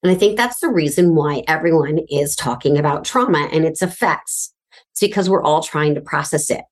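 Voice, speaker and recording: Middle-aged female host, low voice, candid and chatty monologue with wry asides. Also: normal speed. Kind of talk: personal storytelling monologue.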